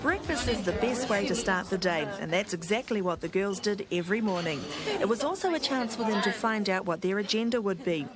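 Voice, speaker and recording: A woman narrating a TV highlights package in a bright, upbeat scripted style. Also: lively intonation, fairly quick, hardly any pauses. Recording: voice-over with loud music behind.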